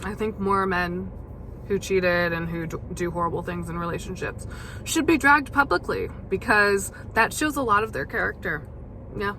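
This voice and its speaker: A young woman hosting a casual solo podcast, chatty and full of fillers, her voice gravelly as she loses it. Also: medium pitch, normal speed, bright, forceful tone, slight background noise, poor audio. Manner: chatty, many fillers and restarts. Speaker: podcast host.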